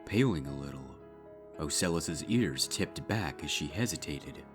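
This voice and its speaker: A man reading dark fiction as a scripted narrator, measured and with dramatic pauses. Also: very deep voice, animated. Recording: music behind the voice.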